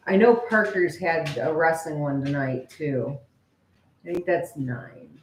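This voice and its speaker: A woman's voice: deep voice, takes it slowly, a little hiss or hum. A woman chatting casually and informally with viewers as co-host of a live stream. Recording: echoey room.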